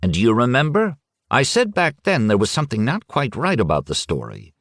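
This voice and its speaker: A man reading an audiobook and voicing a calm, stubborn aging village man who speaks quietly and firmly. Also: medium pitch, a little fast, no room sound, studio quality, voice pushed up, full character voice. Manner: quiet and firm, sure of himself. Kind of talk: audiobook character voice.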